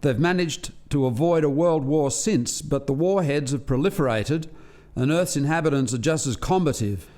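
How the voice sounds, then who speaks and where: An actor playing an earnest alien lab scientist in a radio play, explaining things in a measured, articulate way. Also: male voice, on the high side, darkish tone, lightly acted. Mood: earnest, troubled. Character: earnest alien male lab scientist defending a failed experiment.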